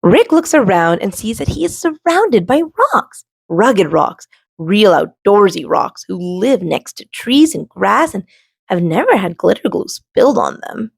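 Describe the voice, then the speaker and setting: A woman reads a children's storybook aloud. Her pace is unhurried and her pitch very lively, with some creak in the voice and dramatic pauses between phrases. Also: no echo. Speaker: storybook reader and show host.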